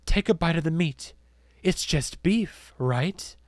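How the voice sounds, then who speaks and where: A man's voice: a little high, expressive, takes it slowly, no echo, clean studio sound, voice pushed up. A man voicing a menacing woman zealot in an audiobook, theatrical and accusing. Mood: accusing, commanding. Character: solemn, menacing woman zealot preaching to followers. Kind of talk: audiobook character acting.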